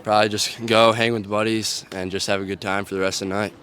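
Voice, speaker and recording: A young athlete answers casually, hesitating with "um" and "uh" as he goes. His voice sits on the low side for a man, and he is recorded outdoors. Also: little pitch movement, fast talker, a bit bright. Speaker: lacrosse player.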